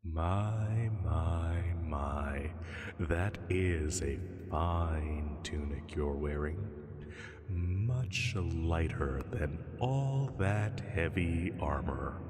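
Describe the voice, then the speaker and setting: Man acting as a giant dragon, low-pitched, teasing purr, soothing yet faintly menacing, roleplay audio, long cave-like reverb. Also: expressive, a little mellow, soft background music, heavily acted. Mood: coaxing, languid. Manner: slow, soothing, teasing purr with drawn-out pauses. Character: huge male dragon, lazily amused, gently menacing. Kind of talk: roleplay sleep-aid audio drama.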